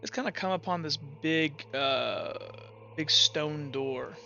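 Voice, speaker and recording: Bright-voiced young game master. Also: male voice, a little high, slow pace, vocal fry, muffled recording, faint music behind. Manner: loose narration, heavy on fillers like kinda and uh. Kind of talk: narrating a tabletop game.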